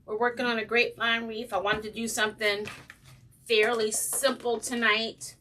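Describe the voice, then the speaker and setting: Slightly raspy woman chatting while crafting. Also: on the high side, little pitch movement, moderate pace, bright, forceful tone, far from the mic, a little hiss or hum. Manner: chatty, greets viewers by name, frequent ums. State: slightly raspy from breathing trouble. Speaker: craft channel host. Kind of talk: casual livestream crafting chat.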